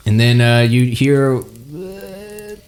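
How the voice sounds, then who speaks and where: Man with animated, swooping delivery. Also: mid-range voice, drawn-out words, a little hiss or hum. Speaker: game master and podcast host. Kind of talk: improvised tabletop game narration and casual chat.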